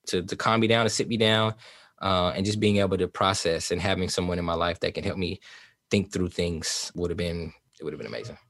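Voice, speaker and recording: A man's voice: low-pitched, dry recording, clean studio sound. A forty-year-old American man speaking reflectively and informally as an interview guest, rambling into slangy stories. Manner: rambling, animated storytelling with slangy phrasing.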